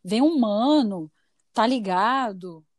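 A woman's voice: a little high, lively intonation, slow pace, no room sound, clean studio sound. Chatty Brazilian woman podcast host. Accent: mixed Brazilian Portuguese. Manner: chatty, laughing, playful asides. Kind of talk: casual chat.

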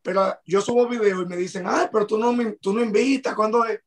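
A man with a Dominican Spanish accent, telling stories in a relaxed way in a casual panel chat. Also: high voice, fairly quick. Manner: relaxed storytelling. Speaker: podcast panelist.

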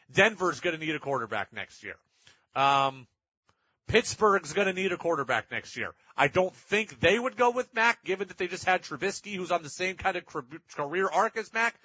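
A man's voice: high voice, sharp tone, breathy edge, no echo, highs cut off. A man hosting sports talk radio, giving opinionated commentary in an emphatic way and repeating points for effect.

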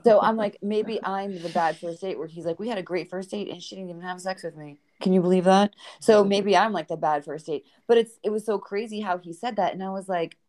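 A woman's voice: mid-range voice, fairly quick, few pauses. A woman with a Boston accent, a guest author telling dating stories in a podcast conversation and acting out the lines of the people in them. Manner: animated storyteller who acts out quoted dialogue.

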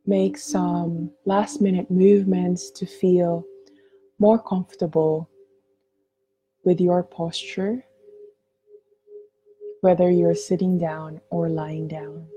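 Woman doing guided meditation, super mellow n soothing, lots of pauses, bit of background noise. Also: fairly low pitch, flat delivery, poor audio. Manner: gentle, soothing, unhurried with long pauses.

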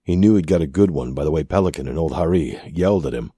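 A man's voice: extremely low pitch, lively intonation, fast talker, warm tone, breathy edge, no room sound, studio quality. A man narrating a piece of fiction from a script as an audiobook-style reader. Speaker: story narrator.

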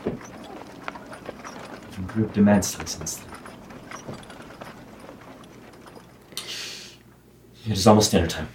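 A man playing a lovesick, moody young gentleman in a period audio play, with formal old-fashioned diction. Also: low voice, steady tone, measured pace, a little hiss or hum, poor audio. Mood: stiff, withdrawn. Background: plucked guitar music.

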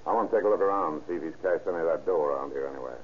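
An actor playing a brusque, impatient, slangy police detective in a 1930s radio crime drama. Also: male voice, a bass voice, racing. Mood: brisk, impatient. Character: hard-boiled, impatient male police detective. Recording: tinny, faintly noisy old radio recording.

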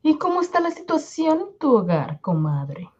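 A woman with a Peruvian accent playing a troubled but supportive friend and mother in an amateur radio drama, expressive and sighing. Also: high voice, normal speed, slightly rough, muffled recording, heavily acted. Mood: confiding. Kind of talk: amateur Spanish-language radio drama acting.

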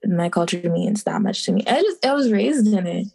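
A young woman from the Bahamas, in her twenties, answers interview questions in an easygoing way. Her voice has a lot of vocal fry, and she hesitates with ums and laughs softly. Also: mid-range voice, expressive, moderate pace. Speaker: podcast guest. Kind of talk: casual interview.

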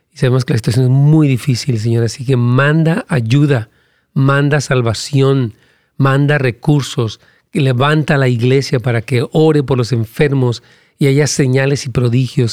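A male pastor preaching and praying during a live broadcast. He prays in fervent, rhythmic lines with emphatic repetitions, and his pitch climbs as he argues a point. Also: normal speed, no echo, studio quality. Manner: animated, rising pitch when arguing a point. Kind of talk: live-streamed sermon and prayer with Q&A.

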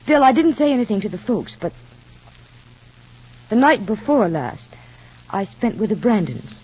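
A woman's voice: medium pitch, very animated, measured pace, darkish tone, husky. An actress playing an anxious, devoted mother in an old radio soap opera, earnest and brooding. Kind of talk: 1940s-50s radio soap opera acting. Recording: muffled, dull old radio recording.

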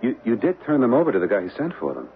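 A man's voice: mid-range voice, wildly swooping pitch, rapid-fire, a little hiss or hum. An actor playing an easygoing, dismissive man in a radio mystery, breezy and teasing in delivery. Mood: teasing, impatient. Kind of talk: old-time radio mystery acting. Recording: muffled old radio broadcast recording.

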